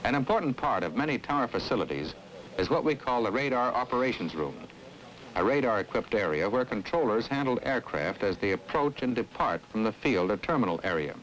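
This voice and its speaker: An American man acting as an affable, earnest air traffic specialist, explaining in a measured way in an old educational film. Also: medium pitch, lively intonation, a bit bright, lightly acted, rough recording. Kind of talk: scripted 1960s educational film dialogue and narration. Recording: old 1960s film soundtrack, faint noise.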